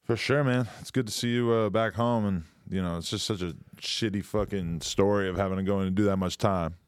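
A man's voice: fairly low pitch, fairly even intonation, fairly quick, smooth, no room sound, clean studio sound. An American man hosting a casual interview, informal and agreeable, sometimes swearing. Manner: informal, agreeable, profane at times. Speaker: interviewer, host.

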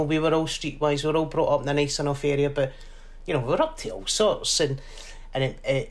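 A woman in her forties with a Glasgow accent, a guest telling stories in a casual interview and acting out other people's voices. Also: deep voice. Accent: Glaswegian. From Scotland. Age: 40s. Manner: animated storyteller who acts out other voices.

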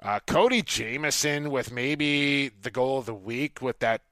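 A man's voice: medium pitch, animated, unhurried, bright, forceful tone, no echo, studio quality. A man hosting a sports podcast, chatting casually and colloquially with enthusiasm for the games.

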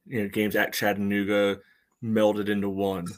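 A man's voice: on the low side, monotone, moderate pace. A man co-hosting a soccer fan podcast, talking casually and feeling his way through his points. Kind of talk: casual sports talk.

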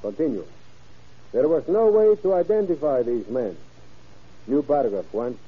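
An actor playing a cold, scheming, commanding Mexican general in old-time radio drama style. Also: male voice, medium pitch, lively intonation, normal speed, slightly rough. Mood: calculating, commanding. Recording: muffled old radio recording.

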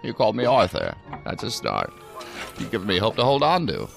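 Audio drama: wry, playful male agent in his seventies, gravelly and very animated, music underneath. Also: on the low side, a little fast, exaggerated voice. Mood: playful flirting. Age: 70s. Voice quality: creaky.

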